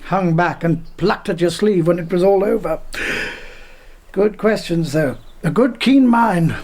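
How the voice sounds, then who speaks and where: A man's voice: high voice, unhurried, darkish tone. An actor playing a chatty, evasive middle-aged man being questioned in a radio drama. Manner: chatty, evasive, British turns of phrase.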